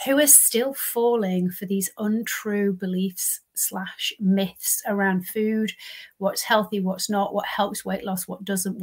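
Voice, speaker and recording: A woman's voice: medium pitch, a bit bright. Nasal woman in her fifties with a cold. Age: 50s. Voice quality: slightly nasal. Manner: earnest, emphatic, stresses single words. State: congested from a cold. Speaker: podcast host and lifestyle coach. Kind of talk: solo talk to camera. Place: home office, indoors.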